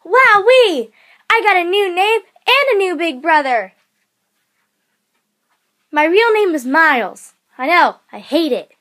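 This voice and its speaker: An eager little cartoon fox boy, acted out by a woman, with a very high, childlike voice. He is innocent and excitable, exclaiming like a keen young sidekick. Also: slow, pauses often, heavily acted. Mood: excited, innocent. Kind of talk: cartoon character audition.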